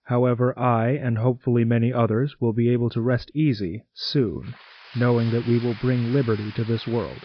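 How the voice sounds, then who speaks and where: A man playing a solemn president, giving a measured, formal wartime radio address. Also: medium pitch, steady tone, lo-fi sound, a little hiss or hum, natural voice. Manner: grave, measured, oratorical. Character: solemn US president announcing war to the nation.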